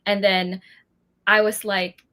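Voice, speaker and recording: Chatty young actress, laughing often. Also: female voice, medium pitch, flat delivery, slow pace, sharp tone. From Philippines. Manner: chatty, laughing, many fillers and restarts. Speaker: actress guest. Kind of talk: casual interview chat.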